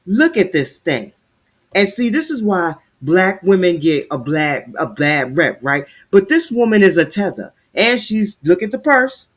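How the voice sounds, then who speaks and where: A man with a fairly high voice delivers ranting commentary on a news story. He reads passages aloud, trips over words, then cuts in with sarcastic, heated remarks. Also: a bit bright.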